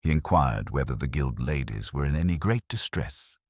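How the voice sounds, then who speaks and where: A man narrating a literary mystery story in a measured, formal way. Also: a bass voice, expressive, a little mellow, dry recording, clean studio sound.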